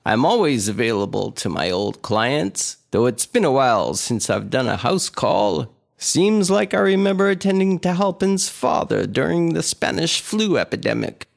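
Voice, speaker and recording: A man's voice: medium pitch, big pitch swings, moderate pace, creaky, few pauses. A man playing an older small-town family doctor in a radio horror drama, speaking in an earnest, dramatic way. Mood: affable. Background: faint music.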